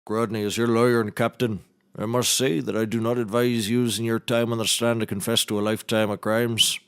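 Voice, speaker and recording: A man's voice: mid-range voice, level tone, brisk, clear, resonant tone, lightly acted. An actor playing a bumbling maintenance man turned lawyer in a scripted comedy, folksy and mock-formal, wandering off the point. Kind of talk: scripted comedy courtroom drama acting.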